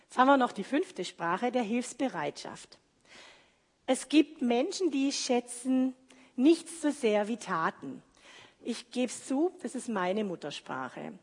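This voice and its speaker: A woman's voice: fairly high pitch, husky. A woman preaching a sermon in a conversational, explaining way, mixing in personal anecdotes. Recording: reverberant hall.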